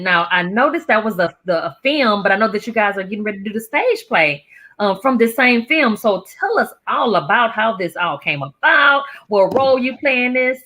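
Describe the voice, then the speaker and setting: A woman's voice: medium pitch, a bit bright. A Southern woman hosting a casual talk show interview, bubbly and full of exclamations.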